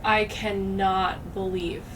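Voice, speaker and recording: A woman's voice: mid-range voice, flat delivery, slow pace. A woman hosting a podcast in casual, reflective chat, thinking aloud with many fillers. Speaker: podcast host. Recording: distant mic, background noise.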